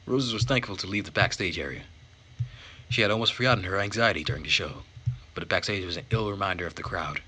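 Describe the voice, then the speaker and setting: A man reading a visual novel aloud as host and narrator, with measured, theatrical phrasing. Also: deep voice, sharp tone, breathy edge. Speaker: let's play host and narrator.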